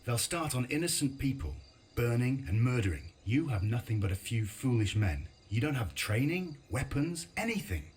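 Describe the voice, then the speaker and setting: An actor playing a weary, guarded war veteran in a video game, speaking gravely and with measured delivery. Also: male voice, mid-range voice, very animated, darkish tone, a little hiss or hum, natural voice. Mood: stern, refusing. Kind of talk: dramatic game dialogue and reflective narration.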